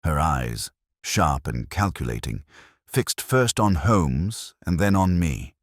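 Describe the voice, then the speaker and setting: Very deep-voiced male storyteller. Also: big pitch swings, slow, no echo, studio quality. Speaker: audiobook narrator. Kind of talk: scripted story narration.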